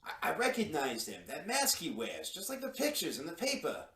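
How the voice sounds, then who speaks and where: A man's voice: a little high, huge pitch swings, moderate pace, pushed and bright, distant mic, heavily acted. An actor playing a nervous, crooked male secretary in an old-time radio drama, stammering and colloquial, in a roomy space. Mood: nervous, urgent.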